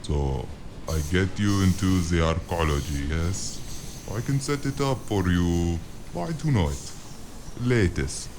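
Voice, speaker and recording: Man playing slow, deep-voiced underworld broker. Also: animated, heavily acted. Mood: sly reassurance. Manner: unhurried, amused, patronizing. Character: smooth, amused, condescending male underworld broker. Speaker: voice actor. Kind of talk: noir audio drama acting. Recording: clearly noisy with ambient sound behind.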